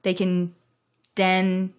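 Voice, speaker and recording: A woman's voice: lowish voice, monotone, extremely slow, clean tone. A woman hosting a podcast interview, listening attentively and summarizing her guest's points. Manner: attentive, frequent affirming murmurs, summarizes the guest. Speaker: podcast host. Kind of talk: casual conversational interview.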